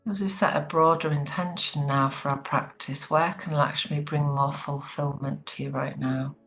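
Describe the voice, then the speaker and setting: A woman leading a guided relaxation meditation in a soothing, gentle, unhurried manner. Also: low-pitched, steady tone, vocal fry, faint music behind, rough recording.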